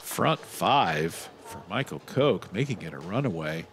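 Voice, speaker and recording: A man doing live bowling commentary, giving measured analysis with excited calls on shots. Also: sits low, slight background noise. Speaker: sports commentator.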